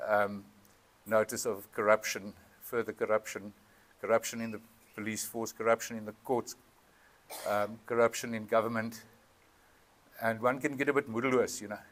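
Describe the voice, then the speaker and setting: A South African man chairing a town meeting, speaking earnestly and haltingly with many ums and switching between Afrikaans and English, into a PA microphone in a hall. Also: lowish voice, a bit bright. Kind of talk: public address at a town meeting. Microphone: PA microphone with feedback.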